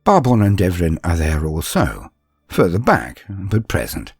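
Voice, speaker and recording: Man acting young male apprentice, earnest, introspective, first-person sci-fi story, low voice, faint music. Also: huge pitch swings, unhurried, darkish tone, breathy edge, no room sound, studio quality, exaggerated voice. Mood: confiding, wondering. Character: young male apprentice of twenty-one, earnest, wounded, deferential.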